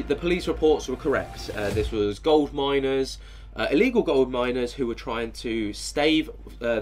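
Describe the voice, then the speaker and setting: A man with a head cold and a nasal, stuffy voice, rambling casually as a channel host with many fillers. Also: medium pitch. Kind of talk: casual commentary on a video.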